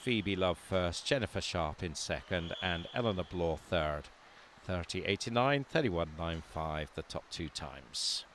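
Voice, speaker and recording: A man's voice: sits low, measured pace, a little hiss or hum. Man calling a swimming meet. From not from Wales. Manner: calm, lists lanes and times, lifts his voice during close finishes. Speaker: swimming commentator. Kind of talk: live sports commentary.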